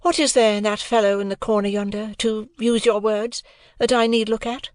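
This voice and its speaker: A woman's voice: on the high side, level tone, moderate pace, dry recording, studio quality, pitched above the performer's own voice, lightly acted. A woman voicing a proud, elegant young society beauty in an audiobook, with cool, careless disdain. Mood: haughty, teasing. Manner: detached, careless, teasing disdain.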